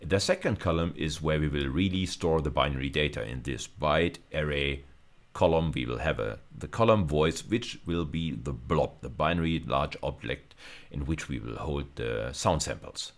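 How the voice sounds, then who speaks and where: A man's voice: very deep voice, moderate pace. A man giving an explanatory technical lecture as a university teacher, working step by step with hesitant repetitions.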